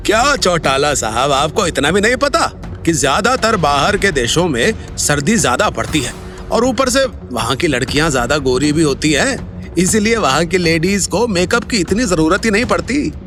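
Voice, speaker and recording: A man's voice: a little high, very animated, moderate pace, over music. A man playing a sarcastic, scornful businessman uncle in an audio drama, taunting and argumentative. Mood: mocking. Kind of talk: Hindi audio drama acting.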